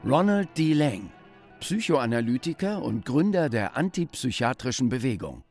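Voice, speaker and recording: A man's voice: mid-range voice, sing-song, moderate pace, darkish tone. A man in a German radio play, narrating and acting in a dry, pointed manner. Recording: music behind the voice.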